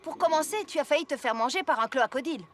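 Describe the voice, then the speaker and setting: A woman's voice: high voice, lively intonation, quick pace, slight background noise. A woman playing a practical, loyal female pony with a frank, folksy way of talking, in a French cartoon dub. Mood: frank, worried.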